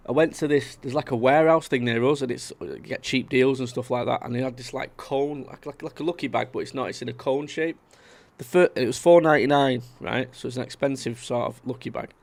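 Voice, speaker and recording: Gravelly man chatting. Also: mid-range voice, brisk, hardly any pauses. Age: 30s. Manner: chatty, rambling, reads out viewer comments. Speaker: livestream host. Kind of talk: casual live-stream chat.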